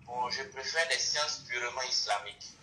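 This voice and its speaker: A man's voice: medium pitch, sharp tone, recorded from a distance. Harsh, echoey phone caller, West African man. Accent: West African French. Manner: halting, repeats himself. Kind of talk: casual phone call. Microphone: distant-sounding phone line. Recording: harsh, noisy, echoing phone connection.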